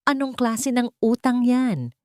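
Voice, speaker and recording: Give this story a woman playing an anxious wife and mother who pleads with her husband. Her voice is mellow and sits very close, with no room around it. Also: on the high side, lively intonation, slow, dry recording, voice pushed up. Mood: angry, insistent.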